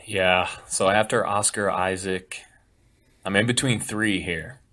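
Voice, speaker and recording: Guy from florida on a podcast, lowish voice, laid back and jokey. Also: a little slow. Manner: relaxed, joking, builds up his picks with hedging. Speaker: podcast guest. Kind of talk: casual podcast banter.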